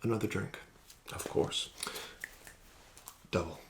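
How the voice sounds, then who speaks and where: A man doing an ASMR roleplay as a casino dealer, formal and courteous, slipping into soft whispers. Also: lowish voice, slow, noisy background.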